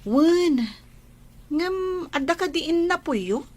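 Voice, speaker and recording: A woman's voice: extremely high pitch, animated, drawn-out words, darkish tone, full character voice. A woman acting a kind, modest young village woman in an audio drama, with an Ilocano accent. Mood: friendly, obliging. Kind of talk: amateur Ilocano radio-style comedy drama acting.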